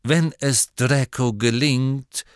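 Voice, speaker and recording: A man voicing a guarded, commanding wizard in an audiobook, with a measured, aloof and emphatic manner. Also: medium pitch, fairly even intonation, smooth, no room sound, clean studio sound, close to an everyday voice.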